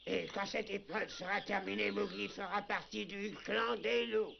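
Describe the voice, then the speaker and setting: Gravelly high-pitched old wolf elder. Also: male voice, fairly even intonation, moderate pace, dull audio, background noise. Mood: solemn. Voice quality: creaky, gravelly. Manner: scolding, authoritative. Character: old wolf grandfather, stern clan elder. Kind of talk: French animated series dub.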